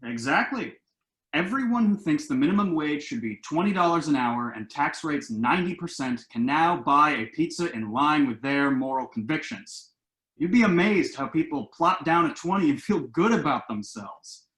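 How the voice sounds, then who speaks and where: A man's voice: on the high side, lively intonation, moderate pace, a bit bright. A man acting a self-assured, glib son who earnestly explains his schemes in a table-read play, recorded in an echoing room. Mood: smug, persuasive. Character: glib, enterprising grown son pitching shady business schemes. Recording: roomy, echoing home recording.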